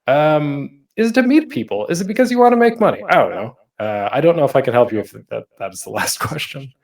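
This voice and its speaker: Male panel host, very animated pitch swings, informal presenter style with self-deprecating asides. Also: fast, recorded from a distance.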